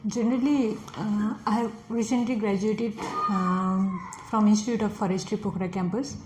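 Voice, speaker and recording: A woman's voice: mid-range voice, steady tone, normal speed. A woman in her twenties with a Nepali accent, a native Nepali speaker, answering exam questions hesitantly with many fillers. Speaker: test candidate, forest officer. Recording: echoey room with faint noise.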